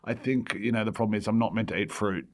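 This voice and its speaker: A man's voice: lowish voice, fast talker, creaky. An Australian man chatting casually as a comedian guest, rambling along and often breaking off to restart his sentences. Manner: hesitant, rambling, self-interrupting. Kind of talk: casual rambling comic chat.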